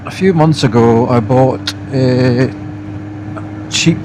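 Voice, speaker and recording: A Scottish man giving a casual, unscripted product review as a motorbike vlogger, speaking haltingly in short phrases while riding outdoors. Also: medium pitch. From Scotland. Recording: loud motorbike wind and engine noise.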